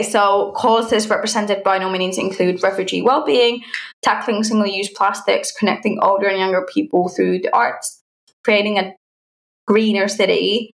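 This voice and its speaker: A woman's voice: mid-range voice, vocal fry. A woman from Finland, a native Finnish speaker, chatting casually as a podcast co-host and sharing news in informal, hesitant phrasing. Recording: roomy, echoey sound.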